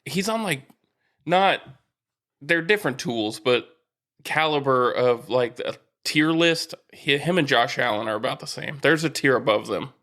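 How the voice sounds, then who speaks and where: A man's voice: mid-range voice, lively intonation, a little slow, a bit bright. An American man co-hosting a sports podcast, talking casually and reasoning through his opinions in a conversational way.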